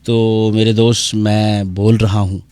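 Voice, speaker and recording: A man's voice: lowish voice, little pitch movement. A man with a Pakistani accent hosting a casual live session, reading out listeners' messages with teasing, theatrical banter and slipping into Punjabi and English. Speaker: radio show host on a live social media session. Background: music playing.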